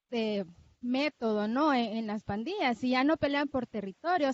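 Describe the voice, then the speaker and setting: A woman from El Salvador with a Salvadoran accent, speaking as a remote seminar participant and giving a personal account. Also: fairly high pitch. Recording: dull remote-link sound.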